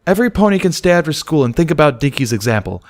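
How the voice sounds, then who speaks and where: A man's voice: on the high side, very animated, quick pace, voice pushed up. A man voicing a stern, reproachful female pony schoolteacher in a story reading. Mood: stern, disapproving.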